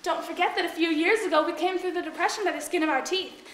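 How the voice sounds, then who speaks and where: A woman's voice: extremely high pitch, quick pace, fairly bright tone. A woman acting a chatty, fretful housemaid and disgruntled actress in a theatrical monologue, with dramatic, emphatic, gossipy delivery. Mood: chatty, philosophical. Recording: echoey room with faint noise and clicks.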